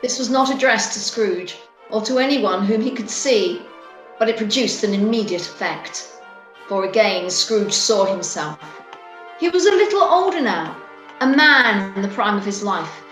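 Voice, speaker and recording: A woman's voice: medium pitch, animated, moderate pace, a bit bright, faint music behind. Female storyteller in a roomy space. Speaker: narrator. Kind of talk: storytelling narration in amateur radio play. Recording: roomy home video call.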